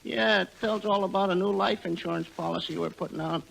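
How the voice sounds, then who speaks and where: An actor playing a meek, persistent oddball insurance salesman, deadpan, in 1940s radio comedy. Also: high voice, a little fast, gravelly, exaggerated voice. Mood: earnest, persistent. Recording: noisy old radio recording.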